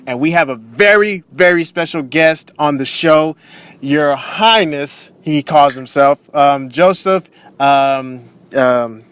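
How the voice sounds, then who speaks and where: A man's voice: on the high side, slow pace, fairly bright tone, smooth, no room sound. A man hosting an interview show, upbeat and chatty with many fillers. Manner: upbeat, filler-heavy, lots of "man" and "you know". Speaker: host. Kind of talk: casual interview.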